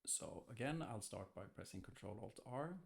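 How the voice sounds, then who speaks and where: Creaky-voiced man giving a software walkthrough. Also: on the low side, animated, moderate pace. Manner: hesitant, thinking aloud. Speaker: software tester demonstrating. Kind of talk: casual screen-recorded software walkthrough. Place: indoors, screen-recorded voice-over.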